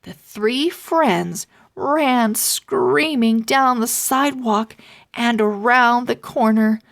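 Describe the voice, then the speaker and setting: A woman reading a children's story aloud as narrator, expressive and full of suspense. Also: fairly high pitch, slow pace. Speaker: storybook reader.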